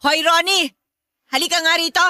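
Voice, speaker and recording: A very high, piercing mother's voice played by a woman in a radio drama. She is short-tempered and nags relentlessly, scolding her grown children in a bright, sharp tone, close and dry. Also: steady tone, normal speed, no echo. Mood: suspicious, bossy. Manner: nagging, berating, exclamatory. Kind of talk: Tagalog radio drama acting.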